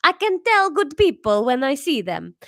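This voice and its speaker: A woman voicing a warm, earnest mother with an Italian accent, reading her story aloud. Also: high-pitched, big pitch swings, moderate pace, no room sound, studio quality, voice pushed up, heavily acted. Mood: gushing. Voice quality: shaky, overdone accent attempt.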